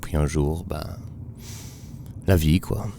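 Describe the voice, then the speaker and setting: A man from Belgium narrating a documentary in a slow, measured, reflective voice-over. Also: a bass voice, steady tone, gravelly, warm tone, slight background noise.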